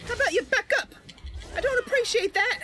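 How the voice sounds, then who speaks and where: A woman's voice: very high voice, lively intonation, fairly quick, noisy background, voice pushed up. A woman voicing a plucky young female wood elf adventurer from a fantasy game, bold and taunting in her delivery. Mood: indignant.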